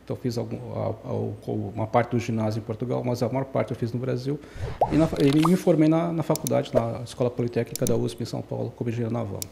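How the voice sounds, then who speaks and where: Gravelly fast-talking man in his sixties. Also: medium pitch, fairly even intonation, very noisy. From Brazil. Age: 60s. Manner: rambling, self-correcting storyteller. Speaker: guest engineer. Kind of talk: casual podcast interview.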